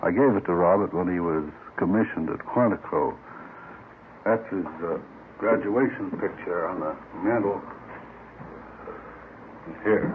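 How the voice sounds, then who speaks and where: An actor playing a proud, lonely old retired Marine colonel in mourning, speaking in a halting, courteous way in 1950s radio drama. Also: male voice, sits low. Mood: wistful pride. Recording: muffled, slightly noisy old radio recording.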